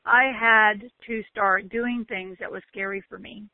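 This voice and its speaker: A woman giving a motivational talk with a loud voice, stretching out key words for emphasis. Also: medium pitch, steady tone, no echo. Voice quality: loud, bellowing voice. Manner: emphatic, drawn-out stresses. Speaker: motivational speaker. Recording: tinny phone-like line, dry.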